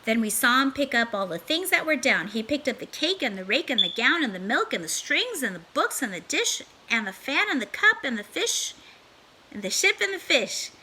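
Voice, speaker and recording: High, animated woman reading a storybook. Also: normal speed, slight background noise, poor audio. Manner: theatrical, emphatic. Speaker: storybook reader. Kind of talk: expressive children's storybook read-aloud.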